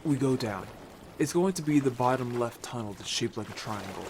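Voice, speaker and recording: Actor as upbeat merfolk scout, audio drama, animated intonation, casual, bold, clear watery background noise. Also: mid-range voice, moderate pace, close to an everyday voice. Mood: confident. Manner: casual, animated, playfully defensive. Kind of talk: audio story character acting.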